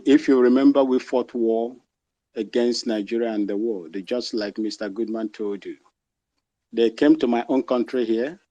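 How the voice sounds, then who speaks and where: Man, Ghanaian accent, moderator, measured and deliberate, mid pitch, dry close sound. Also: dark tone, dry recording, dull audio. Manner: measured, deliberate, slows for emphasis. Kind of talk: live online discussion.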